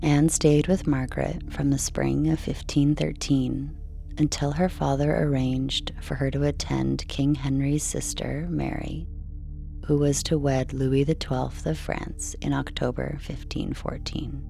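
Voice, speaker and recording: A woman reading a scripted bedtime story in a slow, measured, soothing delivery. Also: extremely low pitch, little pitch movement, vocal fry. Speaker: sleep story narrator. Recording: soft music behind.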